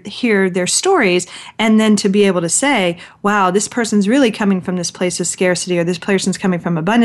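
A woman hosting a casual interview show, chatty and full of restarts and fillers. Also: mid-range voice. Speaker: podcast host.

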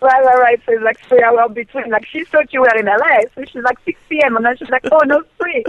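A woman's voice: high-pitched, fast talker. A woman from France with a French accent, chatting casually as a guest over the phone and acting out her own quoted words. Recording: muffled phone line, dry.

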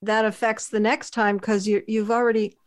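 A woman's voice: a little high, flat delivery, normal speed. A woman hosting an interview who asks thoughtful questions and often ends them with tag questions. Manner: warm, probing, tag questions. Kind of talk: conversational interview.

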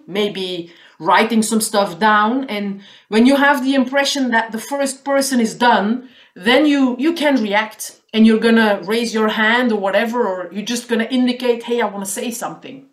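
Flemish woman guest, highish voice, reverberant room, thinks aloud with small restarts. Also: normal speed, few pauses. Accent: Flemish Dutch. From Belgium. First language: Dutch. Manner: thoughtful, repeats words while searching for phrasing. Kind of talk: conversational interview.

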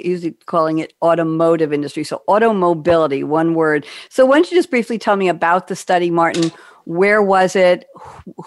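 A woman's voice: on the low side, darkish tone, no echo, studio quality. A woman hosting a podcast interview, politely introducing her guest and asking questions. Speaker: podcast host.

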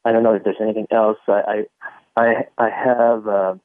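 A middle-aged man, raised in Singapore, speaks as a radio interview guest. His voice is fairly low and his delivery halting, with frequent pauses. It sounds dry and thin, as over a telephone line. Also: flat delivery, dry recording. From born in the Philippines, raised in Singapore. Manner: halting, hesitant, pauses often. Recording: tinny phone line, dry.

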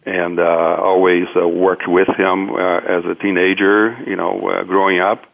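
A man from Brazil, a native Portuguese speaker with a Brazilian accent, giving an interview as a businessman in a halting, hesitant way. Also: deep voice, flat delivery, creaky. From Minas Gerais, Brazil. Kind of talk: interview conversation, recounting own career. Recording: tinny phone-like line.

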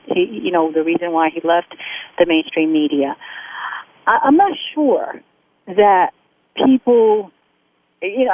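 A woman from Haiti speaks as a guest in a radio discussion, her voice of ordinary pitch for a woman. She talks with strong feeling and keeps restarting her sentences. Her voice is squeezed into a narrow telephone band. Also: no echo. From born in Haiti, raised in the United States. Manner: impassioned, with many halting restarts. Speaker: guest, aid worker. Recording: phone-like radio line.

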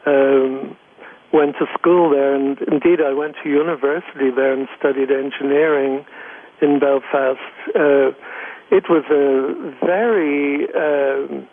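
A man, a guest giving thoughtful, halting answers in an interview. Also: mid-range voice, fairly even intonation. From Northern Ireland. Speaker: guest, economist. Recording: tinny phone line.

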